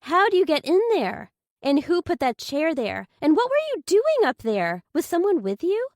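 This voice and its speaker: A woman's voice: very high-pitched, very animated, normal speed, a little mellow, dry recording, studio quality, pitched above the performer's own voice, exaggerated voice. A woman voicing a frantic, protective aunt who fires anxious questions in a thriller audiobook. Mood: alarmed, urgent.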